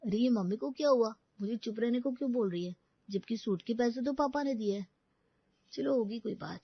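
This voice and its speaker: A woman's voice: fairly high pitch, fairly quick, slightly rough, no echo, highs cut off. A woman voicing an eager, pleading college-age son in a family moral story. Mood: puzzled.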